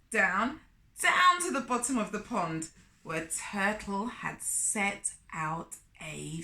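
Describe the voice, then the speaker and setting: A woman's voice: medium pitch, bright, forceful tone. A woman telling a story to young children expressively, with exclamations and questions to the listener. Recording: echoey room.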